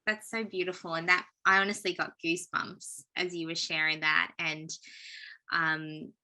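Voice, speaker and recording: Host: reflective woman interviewer, lower pitch, bright, clear tone. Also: little pitch movement, normal speed. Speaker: podcast host. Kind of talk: casual interview talk.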